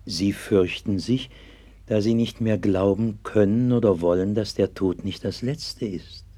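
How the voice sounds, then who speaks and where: An actor playing a gentle, devout sixteenth-century pastor in an old German radio play, speaking solemnly and patiently. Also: male voice, fairly low pitch, fairly even intonation, measured pace, husky. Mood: gentle, consoling. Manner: solemn, patient, earnest preaching cadence. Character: gentle, devout 16th-century pastor comforting a sick child.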